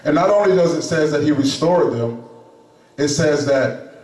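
A middle-aged man preaching a sermon in a small chapel into a handheld microphone, emphatic and pausing often. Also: on the high side, a little mellow. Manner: slow, emphatic preaching with pauses. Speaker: lay preacher. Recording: echoey room, camera at a distance.

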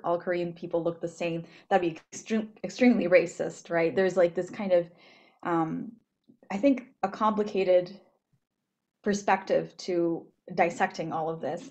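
A woman's voice: lowish voice, darkish tone. A young woman in her twenties from Japan, talking thoughtfully in a small room on a laptop webcam, with many fillers and restarts. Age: 20s. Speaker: panel speaker, graduate student. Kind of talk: reflective personal talk on a video call. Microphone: laptop webcam, video call.

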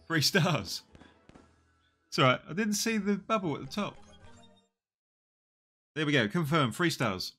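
A man in his forties with a slightly nasal voice from a cold, quietly talking through a mobile puzzle game on a headset. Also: fairly high pitch, big pitch swings, breathy edge, faint music behind. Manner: slow, muttering play-by-play, often trailing off. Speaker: gaming channel host. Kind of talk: casual mobile game commentary. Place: small room indoors.

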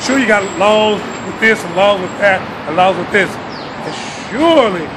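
Middle-aged street vendor, high voice, jokey and preachy, caught on a camera outdoors with loud traffic. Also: male voice, moderate pace. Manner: joking, playful, speechifying. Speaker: street bookseller. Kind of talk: casual street interview. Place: outdoors on a city sidewalk. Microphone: camera held by interviewer.

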